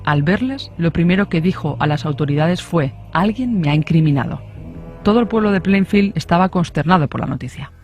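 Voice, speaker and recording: A man's voice: fairly high pitch, expressive, fairly quick, a little mellow, highs cut off, soft background music. A man reading scripted true-crime narration as a storyteller.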